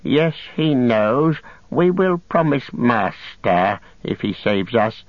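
A wretched, starving creature, whining and hissing in thin, strained tones well above the reader's own voice. Every word is stretched out and pleading. The sound is dry and a little dull. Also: male voice, medium pitch, sing-song, dry recording. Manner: drawn-out, pleading, talks of himself as "we". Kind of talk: audiobook character voice.

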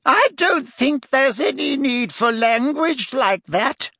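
A man's voice: very high voice, lively intonation, takes it slowly, vocal fry, bright, forceful tone, dry recording, studio quality, voice pushed up, exaggerated voice. A man voicing a fussy, flustered female shopkeeper in a storybook reading, fluttery and motherly as she scolds. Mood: anxious scolding.

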